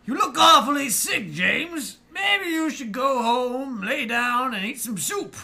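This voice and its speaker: A man voicing an old male hound general who is hearty, blustering and slipping into confusion, with a raspy, gravelly voice. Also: expressive, slow pace, sharp tone, full character voice. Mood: confused. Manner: booming, jovial, drifts into confusion. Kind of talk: fan audio drama reading.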